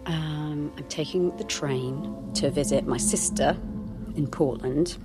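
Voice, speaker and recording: A woman's voice: low voice, takes it slowly, loud music behind the voice. An actress playing a wistful married woman in her forties in an audio drama, speaking in a hesitant, confiding, intimate way. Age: 40s. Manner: hesitant, confiding, self-conscious.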